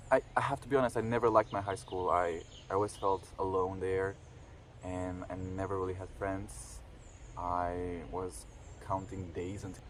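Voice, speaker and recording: A man's voice: deep voice, slight background noise. A man of twenty-one talking casually to camera in a reflective vlog, hesitant, with many fillers and restarts. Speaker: vlogger.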